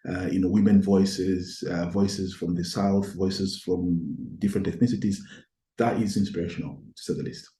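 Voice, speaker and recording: A middle-aged man with a mellow, lowish voice talks things through as a podcast guest. He pauses, circles back and repeats words as he gathers each thought, and his is Tonga. The sound is roomy, with noticeable echo around him. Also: little pitch movement. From Zambia. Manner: thoughtful, halting, with many repeated words and restarts. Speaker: guest, academic. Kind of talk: reflective podcast conversation.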